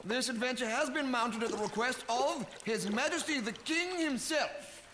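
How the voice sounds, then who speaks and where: A nervous, fawning first officer stammers as he tries to calm the crew, voiced by a man. His voice sits very high, and a steady hiss runs under the live broadcast. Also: normal speed. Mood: placating. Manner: flustered, stammering. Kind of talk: live radio comedy.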